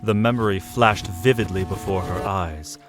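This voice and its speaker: A man narrating an audio drama in a measured storytelling manner. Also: sits low, over music. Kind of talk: scripted narration.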